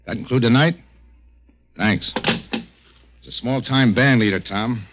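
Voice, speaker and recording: An actor playing a tough, streetwise police detective in a 1940s radio crime drama, clipped, commanding and slangy. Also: male voice, mid-range voice, measured pace, noisy background, natural voice. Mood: businesslike, insistent. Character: tough, streetwise adult police detective, brusque but loyal. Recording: vintage 1940s radio recording with music behind.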